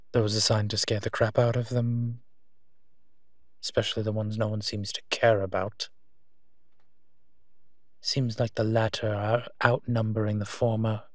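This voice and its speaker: Slow, soft-spoken male monster guardian, acted by a man. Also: fairly low pitch, no room sound, clean studio sound, close to an everyday voice. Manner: soft-spoken, unhurried, playfully teasing. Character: gentle, teasing male monster protecting children, detective's partner. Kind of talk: intimate audio roleplay addressed to the listener.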